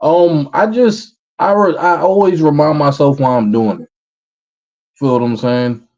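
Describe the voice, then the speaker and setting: A man in his thirties with a New York accent, a rapper answering interview questions in a relaxed way. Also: fairly high pitch, unhurried, muffled recording. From Brooklyn, New York, USA. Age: 30s. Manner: relaxed, repeats "feel what I'm saying". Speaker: rapper guest.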